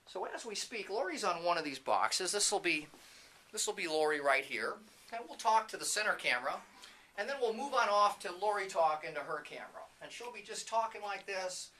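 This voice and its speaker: A man's voice: high voice, animated, fairly quick, bright, forceful tone. A man casually narrating a walking tour indoors in an informal, off-the-cuff, chatty way. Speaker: CEO and presenter of a training company, giving a tour. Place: indoors, walking through a video production facility. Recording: light background noise, room ambience.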